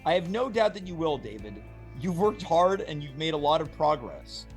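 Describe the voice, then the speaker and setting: A man reading the part of a calm, encouraging male rehab doctor in a script read. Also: fairly high pitch, moderate pace, soft background music. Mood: reassuring. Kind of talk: amateur table read.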